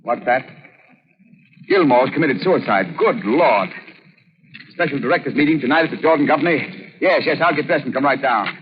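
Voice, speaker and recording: An actor playing a groggy, uneasy company director who mutters to himself in a 1930s radio drama. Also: male voice, mid-range voice, lively intonation, normal speed, long pauses, lightly acted. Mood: groggy, shocked. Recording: muffled, reverberant, crackly 1930s radio recording.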